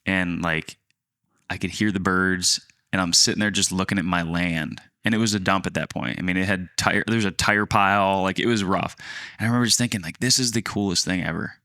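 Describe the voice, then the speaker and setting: A man around thirty co-hosting a podcast, telling a personal story in a casual, halting, rambling way. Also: low voice, fairly even intonation, sharp tone, no room sound, studio quality. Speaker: podcast co-host, land agent.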